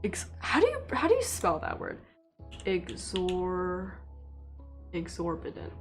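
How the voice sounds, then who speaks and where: A young woman from China, a native Mandarin speaker, chatting casually with viewers on a livestream in a relaxed, rambling way full of fillers. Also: on the low side, takes it slowly, creaky, faint music behind. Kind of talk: casual live-stream chat with viewers.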